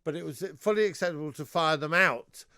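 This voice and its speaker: Chatty radio host, higher-pitched man. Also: a little fast, clear, resonant tone, dry recording, clean studio sound. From England. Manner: chatty storyteller, animated. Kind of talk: radio interview chat.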